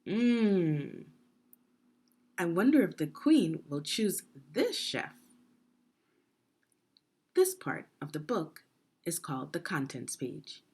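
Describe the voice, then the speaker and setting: Low-voiced woman reading slowly to children. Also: vocal fry, slightly rough. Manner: deliberate, expressive, asks the listener questions. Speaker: education consultant reading a children's book aloud. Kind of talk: read-aloud storytelling for young children.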